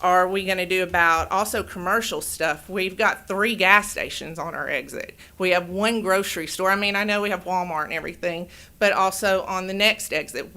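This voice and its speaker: A woman giving public comment at a city meeting into a podium microphone, loud and rambling, often correcting herself. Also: lowish voice. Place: city council chamber.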